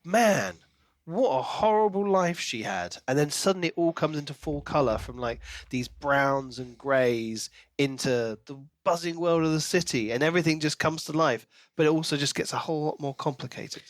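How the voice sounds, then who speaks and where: Male co-host, slightly high voice, enthusiastic and informal book chat, dry recording. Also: normal speed, dry recording. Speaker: podcast co-host. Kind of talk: casual book discussion.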